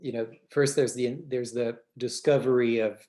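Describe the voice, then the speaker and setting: A man speaks as a podcast co-host in a conversational register. He feels his way through his thoughts, pausing and circling back as he frames things. His pitch sits in an ordinary middle range for a man. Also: steady tone, mellow. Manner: halting, searching for words, circling back.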